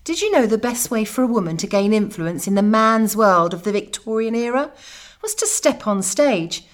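A woman's voice: medium pitch, lively intonation, moderate pace. A woman co-hosting a podcast, reading scripted trailer narration. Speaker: podcast host.

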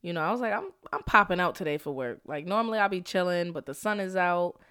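A woman's voice: on the low side, fast, pushed and bright, smooth, no room sound, clean studio sound. A young woman hosting her own podcast, talking casually in slang and laughing often, a little stuffy from a cold. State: slightly congested. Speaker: podcast host; school counselor. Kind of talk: casual solo podcast monologue.

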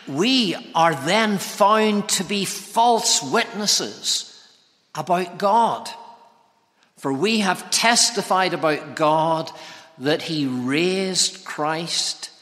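A man preaching a sermon in deliberate, measured phrases broken by pauses. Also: fairly high pitch, bright, forceful tone. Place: large church interior, preaching to congregation. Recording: long reverberant church hall echo.

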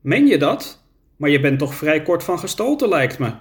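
A man's voice: on the high side, big pitch swings, normal speed. A man reading a storybook and voicing an elderly son worried about his mother. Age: older.

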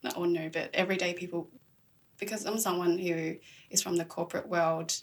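A woman's voice: on the low side, steady tone, brisk. A young adult woman from Australia, a podcast host being interviewed, speaking in an earnest, reflective, casual way.

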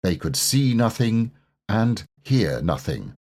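A man reading a children's storybook aloud as an expressive, scripted narrator. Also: lowish voice, slow, slightly rough. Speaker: storybook reader.

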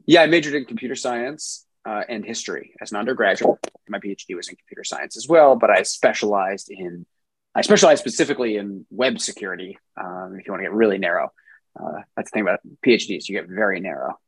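Easygoing man, slightly husky voice. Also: fairly low pitch, quick pace, no echo, rough recording. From New Jersey, USA. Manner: relaxed, many ums and restarts. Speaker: software engineer guest. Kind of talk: interview answers.

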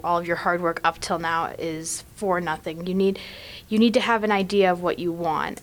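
A teenage girl speaking as a panelist in a reflective book discussion. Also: lowish voice. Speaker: teen book club panelist.

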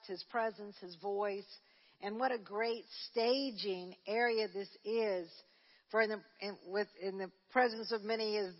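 A woman's voice: mid-range voice, big-room sound, dull audio. A woman minister delivering a Pentecostal sermon at a prayer service, conversational and full of restarts, then building into fervent preaching. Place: church sanctuary.

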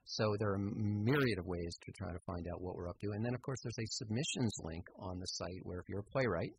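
Male interview guest, low creaky voice, halting explanatory delivery, some background hiss. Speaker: interview guest, radio theater organizer. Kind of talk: conversational radio interview.

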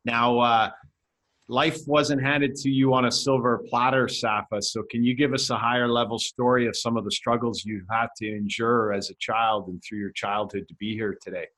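A man hosting an interview podcast, with a voice at an ordinary middle pitch. He sounds enthusiastic as he hands over to his guest, stumbling now and then on hesitant fillers. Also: steady tone, normal speed, a bit bright. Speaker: podcast host. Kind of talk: casual interview chat.

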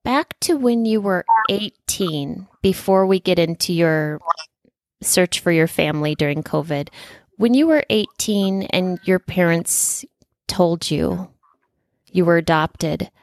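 Woman, podcast host, gentle questions, a bit gravelly, dry sound. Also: on the low side, expressive, slow, dry recording. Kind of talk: interview.

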